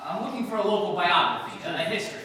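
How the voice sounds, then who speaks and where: A man's voice: sits high, sing-song, rapid-fire, sharp tone, heavily acted. A man playing a cynical, tough-talking writer in an old-time radio comedy, with a dry, sardonic delivery. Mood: bemused. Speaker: actor in a live radio play. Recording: very echoey hall, distant mic, faint hiss.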